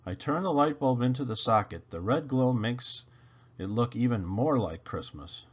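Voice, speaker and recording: A man reading a children's storybook aloud with dramatic, animated narration. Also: mid-range voice, moderate pace, slightly rough, highs cut off.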